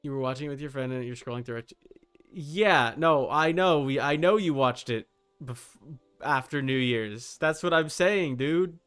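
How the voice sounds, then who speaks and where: A man's voice: medium pitch, moderate pace, fairly bright tone, clear, resonant tone. A man livestreaming a game, chatting informally and teasingly with his viewers and swearing casually. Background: background music.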